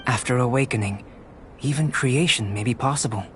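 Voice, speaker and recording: A man voicing a calm, curious young male alchemist in a video game, with precise, academic and politely detached delivery. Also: medium pitch, noisy background, close to an everyday voice. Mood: curious, explaining. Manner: measured, precise, academic phrasing.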